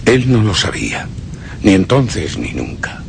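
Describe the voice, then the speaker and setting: A man's voice: lowish voice, big pitch swings, gravelly, slight background noise, full character voice. An actor playing an embittered, vengeful Frenchman who secretly plots murder, delivering a brooding, theatrical, contemptuous monologue in a radio play. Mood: ominous resolve. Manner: brooding, theatrical, deliberate storytelling with contemptuous edge. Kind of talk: dramatic first-person radio play monologue.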